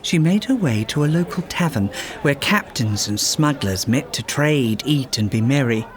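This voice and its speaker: A man reading a children's fairytale aloud as a storyteller, in expressive scripted narration. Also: mid-range voice, normal speed, slight background noise. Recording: music behind the voice. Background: music.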